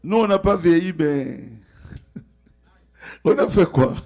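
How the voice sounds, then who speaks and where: An older man with a Congolese accent preaching live in short phrases, exhorting and questioning his congregation with a gravelly, husky voice. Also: high voice, expressive, takes it slowly, darkish tone. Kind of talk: live church sermon delivered in short phrases for interpreting. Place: church service.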